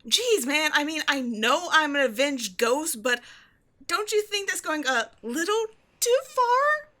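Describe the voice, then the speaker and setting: A woman's voice: sits high, sing-song, unhurried, sharp tone, exaggerated voice. A woman playing a petty, theatrical ghost from an old horror film in a scripted comedic audio roleplay, mock-sinister and easily exasperated. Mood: flustered, exasperated.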